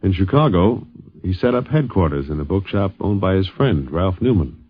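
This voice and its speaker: Deep radio narrator, a man. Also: very animated, moderate pace, breathy edge, dry recording. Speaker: documentary narrator. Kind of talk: scripted 1950s radio narration. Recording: muffled old radio broadcast with faint music.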